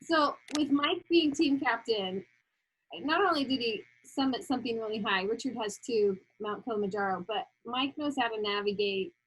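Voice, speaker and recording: Husky-voiced woman. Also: a little high, moderate pace, fairly bright tone, poor audio. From Idaho, USA. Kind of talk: casual team introduction.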